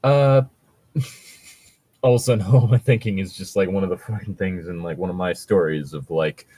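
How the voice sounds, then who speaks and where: A man's voice: low-pitched, moderate pace, mellow, breathy edge, no echo. A man casually bantering and reading online stories aloud, with a wry, joking, sometimes profane manner. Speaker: co-host reading online tabletop gaming horror stories.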